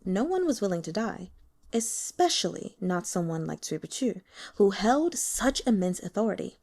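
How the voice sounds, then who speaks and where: A woman narrating a novel as an audiobook reader, with steady storytelling. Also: medium pitch, normal speed. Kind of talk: scripted narration.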